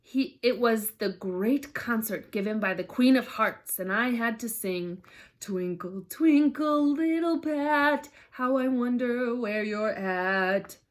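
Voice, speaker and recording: Woman as a slow, theatrical mad hatter. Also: fairly high pitch, voice pushed up. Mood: singsong. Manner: theatrical, mournful, emphatic. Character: mad, mournful male hatter, eccentric tea-party host.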